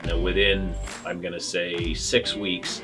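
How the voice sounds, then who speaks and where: A man in his fifties from Canada, talking reflectively in a documentary interview, often pausing and restarting his phrases. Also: low-pitched, level tone, over music. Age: 50s.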